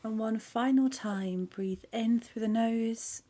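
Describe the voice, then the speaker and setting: Slow, hushed woman guiding meditation. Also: fairly high pitch, slight background noise. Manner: soft, calm, hushed, half-whispered at times. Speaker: meditation guide. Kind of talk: guided meditation.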